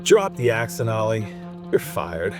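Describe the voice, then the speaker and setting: A man's voice: lowish voice, sing-song, a little slow, over music. A man playing a curt, dismissive television network boss. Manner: blunt, curt.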